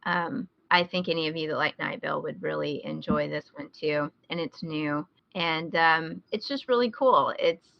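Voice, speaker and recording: A woman's voice: sits low, normal speed, gravelly, hardly any pauses, no echo. A woman hosting a podcast, chatting casually as she gives recommendations. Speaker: podcast host. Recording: slightly dull, lo-fi close recording.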